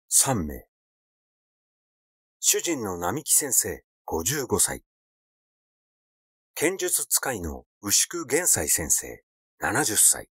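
A man reading a literary story aloud as a scripted narration, measured and expressive in his delivery. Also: lowish voice, breathy edge, dry recording, clean studio sound. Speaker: audiobook reader.

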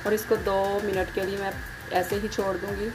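A woman's voice: medium pitch, flat delivery, normal speed, poor audio. A woman gives a plain, instructional step-by-step recipe voice-over as a home cooking host. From Pakistan. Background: music behind the voice.

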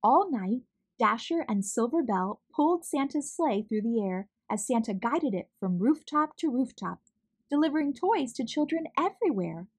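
A woman narrating a children's storybook as a read-aloud host, expressive, measured and clearly enunciated. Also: fairly high pitch.

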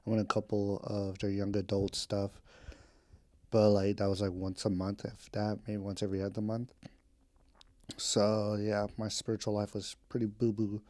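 A man's voice: fairly low pitch, monotone, normal speed, dark tone, no room sound. A man in his twenties casually catching up with his audience, rambling and candid, with slang and the odd swear. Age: 20s. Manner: rambling, candid, drifts between topics. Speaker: vlogger. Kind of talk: casual catch-up podcast talk. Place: home.

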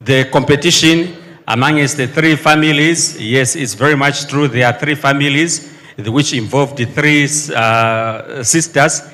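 A man from Zambia speaks into a handheld mic, halting and earnest as he gives his testimony. His voice carries a long reverb from the large space around him. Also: mid-range voice, pushed and bright. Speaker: congregant giving testimony.

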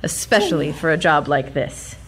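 A woman's voice: deep voice, expressive, normal speed, heavy background noise. A woman playing a plucky, polite young American heroine in a comic radio drama, earnest and declamatory. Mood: resolute.

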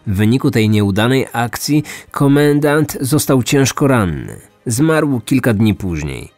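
A man's voice: medium pitch, very animated, unhurried, darkish tone, dry recording. A man hosting a true-crime podcast, reading scripted storytelling narration. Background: music.

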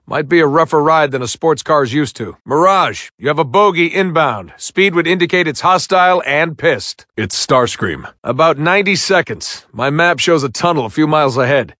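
An actor playing a wry military commander, giving orders with dry sarcasm in video game mission dialogue. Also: male voice, on the high side, animated, normal speed, hardly any pauses, bright, forceful tone, no room sound. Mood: dry, businesslike. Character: wry male military commander guiding a soldier.

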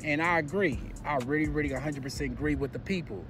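A man's voice: on the high side, quick pace, over loud music. An American man giving casual, opinionated gossip commentary in a conversational way.

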